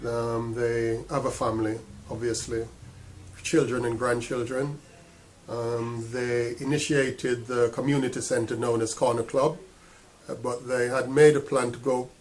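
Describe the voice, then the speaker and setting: A man's voice: mid-range voice, rough recording. Male actor, middle register, informal explanatory introduction to camera.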